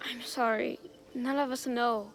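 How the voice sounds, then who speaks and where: A woman voicing a frightened, guilt-ridden child soldier in a video game, halting and pleading. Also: a little high, fairly even intonation, faint music behind, lightly acted. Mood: subdued, confessional. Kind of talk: dramatic video game cutscene acting.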